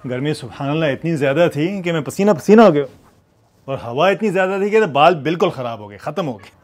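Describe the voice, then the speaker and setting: A man's voice: on the high side, expressive, fast. A man in his thirties narrating a casual, enthusiastic food vlog, first walking through a mall food court, then in a hotel room. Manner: chatty, enthusiastic, mixes English phrases in. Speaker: food vlogger.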